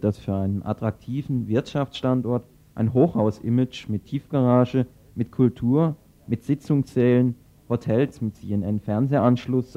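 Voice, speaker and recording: A man's voice: medium pitch, mellow. A man reading a scripted news report as a radio reporter. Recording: slightly dull lo-fi radio recording.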